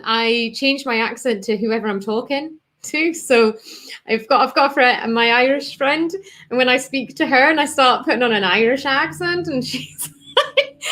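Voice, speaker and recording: A woman's voice: high voice, fairly bright tone. A woman from England with a mixed English and Scottish accent, a guest chatting casually and laughing often. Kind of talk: casual chat.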